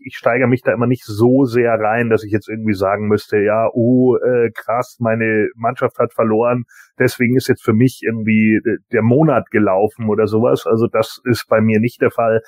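A man's voice: medium pitch, fairly even intonation, normal speed, a little mellow, no echo. Dry-recorded man in casual, colloquial chat. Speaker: podcast co-host.

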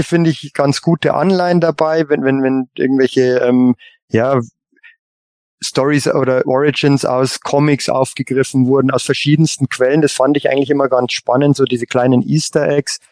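A man chatting casually on a fan podcast, haltingly, often repeating words as he thinks. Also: medium pitch, a little mellow, no room sound. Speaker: podcast panellist.

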